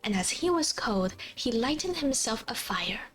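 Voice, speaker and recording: A woman livestream host casually reading a fairy tale aloud, halting over old-fashioned words in a giggly, apologetic way. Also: on the high side, sing-song. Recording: faint background music. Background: soft background music.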